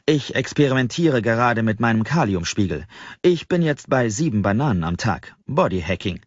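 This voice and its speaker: A man plays a tall, easygoing European surfer who works in tech, in an audiobook. The voice is husky, and the recording is a little dull. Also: medium pitch, lively intonation, moderate pace, lightly acted. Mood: eager, chatty. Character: tall laid-back European surfer-type tech worker. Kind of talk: audiobook dialogue. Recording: slightly dull, roomy sound.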